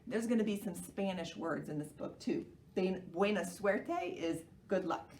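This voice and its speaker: An American woman presenting a children's storytime in an animated way, explaining simply and asking young children questions. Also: medium pitch, measured pace. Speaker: children's library storytime host. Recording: roomy, distant microphone, faint noise.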